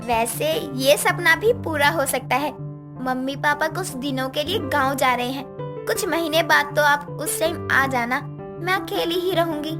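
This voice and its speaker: Woman doing a coy young bride, really high girlish voice, cartoon story with music behind. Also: a little slow. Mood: happy. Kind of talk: Hindi story cartoon dialogue. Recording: music under voice.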